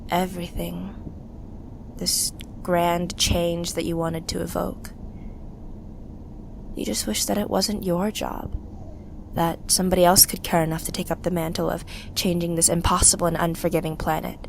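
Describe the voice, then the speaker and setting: A woman playing a weary, grieving young survivor of a revolution in an audio drama, speaking in a wistful, halting, confessional way. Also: fairly low pitch, little pitch movement, gravelly, slight background noise. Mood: tender, longing. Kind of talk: intimate audio drama monologue.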